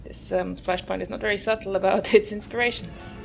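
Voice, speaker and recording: A woman's voice: medium pitch, steady tone, fairly quick, gravelly, soft background music. A woman giving casual, rambling gameplay commentary with a wry manner. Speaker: gamer narrating her play in a video series.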